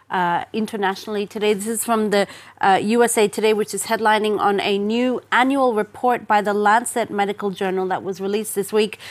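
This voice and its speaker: Woman presenting a hesitant live press review. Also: medium pitch. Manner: explanatory, with frequent hesitations. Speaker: press reviewer on a news show. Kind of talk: live press review, semi-scripted commentary.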